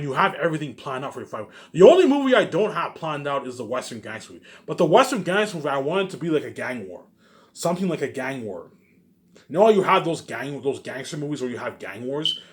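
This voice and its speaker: A man rambling casually in a monologue, boastful and emphatic, repeating himself and asking questions that he answers himself. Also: medium pitch. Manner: boastful, profane. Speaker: vlogger.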